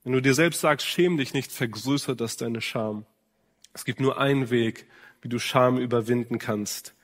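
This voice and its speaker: Male preacher, medium pitch, earnest sermon delivery straight to the listener, long hall reverb. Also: moderate pace. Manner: earnest direct address with rhetorical questions. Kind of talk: sermon to men.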